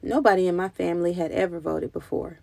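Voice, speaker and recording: A woman reading a children's story aloud in an expressive, deliberate storytelling style. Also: on the low side, gravelly, no room sound, poor audio. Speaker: children's book reader.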